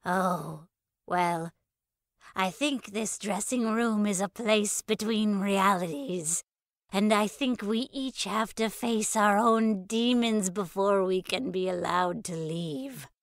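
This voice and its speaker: Woman voicing a slow, crackly, eccentric little oracle lady. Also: no room sound, clean studio sound. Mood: cryptic, solemn. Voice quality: high-pitched, crackly, raw. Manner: slow, drawn-out, sing-song pronouncements. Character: small, eccentric, crazy mystery older woman, slow and cryptic.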